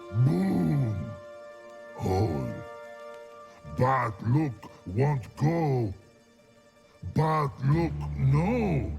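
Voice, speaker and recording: An actor voicing a big, simple-hearted troll in a video game, speaking in halting, broken phrases with a gravelly voice. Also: male voice, mid-range voice, exaggerated voice. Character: big slow-witted, simple-hearted male troll guard. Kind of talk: video game character acting.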